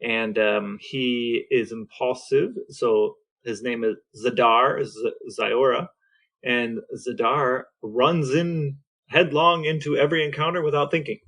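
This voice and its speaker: A man chatting casually as a podcast co-host, explaining things in a halting, conversational way. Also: mid-range voice, steady tone, a bit bright, low-quality recording.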